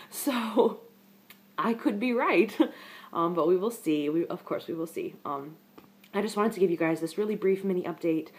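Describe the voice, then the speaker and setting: A woman's voice: medium pitch, a little mellow. Congested young woman chatting to her webcam. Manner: chatty, rambling, talks straight to viewers. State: congested from a head cold. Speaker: vlogger. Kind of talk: casual pregnancy update to camera. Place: living room, indoors, on a couch.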